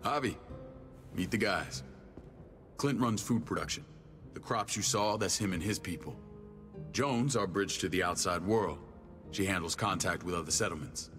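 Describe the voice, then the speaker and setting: A man's voice: sits low, expressive, normal speed, pauses often, a bit bright. An actor playing a hard-bitten, short-tempered man in a video game, blunt and commanding in his delivery. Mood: businesslike. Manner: blunt, clipped, profane, commanding. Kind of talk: naturalistic dramatic game acting. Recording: long reverb, music under the voice.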